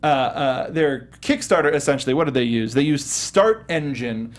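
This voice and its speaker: A man's voice: mid-range voice, expressive, normal speed. A man hosting a casual panel discussion of gaming news, speaking in stop-start phrases. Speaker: host.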